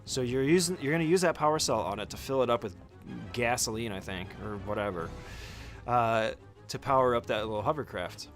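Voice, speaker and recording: A man's voice: medium pitch, a little fast, faint music behind. A man giving an informal, casual walkthrough commentary as a speedrunner teaching a game route, swearing now and then.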